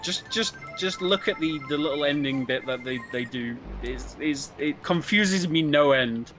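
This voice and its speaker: Higher-pitched man explaining a game over music. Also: measured pace. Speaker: speedrun co-commentator. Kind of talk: casual explanatory commentary. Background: video game music.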